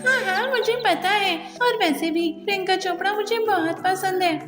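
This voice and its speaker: A woman voicing a giddy, dramatic young bride in a cartoon, in a shrill, excitable manner. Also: moderate pace. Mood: excited. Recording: echoey room with long reverb and music.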